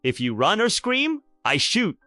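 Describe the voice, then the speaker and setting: A man's voice: sits high, wildly swooping pitch, unhurried, pushed and bright, no room sound, clean studio sound, soft background music, voice pushed up, heavily acted. A man voicing a cold, stern North Korean army captain with a curt, commanding manner in an audio drama story. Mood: threatening.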